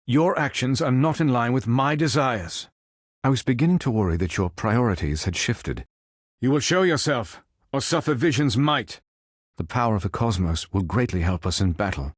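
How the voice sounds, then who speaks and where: A man playing a calm, noble android superhero in a video game, speaking in formal, precise, stilted robotic phrases. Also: medium pitch, normal speed, no room sound, clean studio sound, lightly acted. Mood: measured, composed, then stern, threatening. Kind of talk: video game character voice lines.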